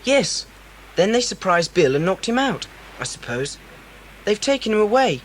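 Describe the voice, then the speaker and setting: Woman playing a bold, animated child adventurer. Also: mid-range voice, moderate pace, slight background noise, natural voice, poor audio. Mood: grave, resolute. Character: bold, practical child adventurer, grimly level-headed. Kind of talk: children's audio drama acting.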